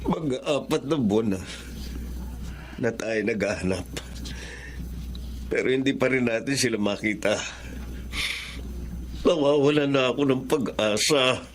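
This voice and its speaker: A man's voice: mid-range voice, unhurried, pauses often, a little hiss or hum, close to an everyday voice. An actor playing a distraught, bitter father in an emotional Tagalog radio drama. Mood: despairing. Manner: anguished, emotional delivery. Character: distraught, bitter father searching for his kidnapped children.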